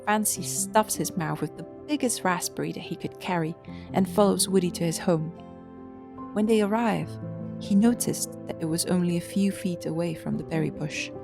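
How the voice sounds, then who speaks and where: Creaky-voiced woman narrating a story. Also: lowish voice, moderate pace, music in the background.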